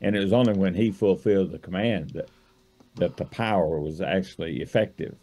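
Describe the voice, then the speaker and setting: A man's voice: low voice, a little fast, warm tone. A man who calls himself a preacher, explaining scripture earnestly in a casual discussion over a video call. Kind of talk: casual Bible study discussion.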